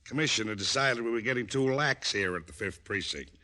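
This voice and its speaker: An actor playing a sarcastic, excitable American police lieutenant in a 1940s-style radio drama. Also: male voice, mid-range voice, brisk, lightly acted. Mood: mock-formal. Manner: mock-formal, then blustering. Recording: old-time radio drama recording.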